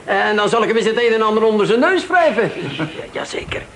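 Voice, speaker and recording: A man's voice: high voice, lively intonation, quick pace, a bit bright. A man playing an indignant, blustering, passed-over office clerk who repeats himself in a radio play. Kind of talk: Dutch radio play acting. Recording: slightly noisy old radio recording.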